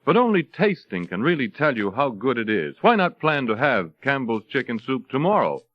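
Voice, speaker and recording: A man's voice: mid-range voice, very animated, moderate pace. A man reading a scripted 1930s radio advertisement as a polished, persuasive announcer. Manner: polished, persuasive descriptive delivery. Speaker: commercial announcer. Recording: dry, muffled vintage radio broadcast.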